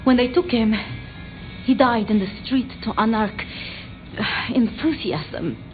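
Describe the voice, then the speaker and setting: A woman voicing a timid, bookish, deferential vampire scholar in video game dialogue, speaking haltingly and apologetically. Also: on the high side, lively intonation, phone-quality sound. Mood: halting grief. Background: background music.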